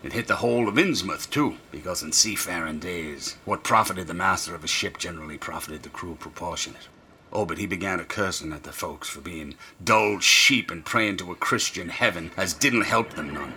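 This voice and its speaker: Rambling old sailor, harshly bright. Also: male voice, deep voice, normal speed, slight background noise, full character voice. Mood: bitter, sly. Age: older. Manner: folksy, dropped grammar, dramatic whispers rising to shrieks. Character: rambling, half-crazed old drunken sailor, secretive and fearful. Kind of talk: radio drama monologue.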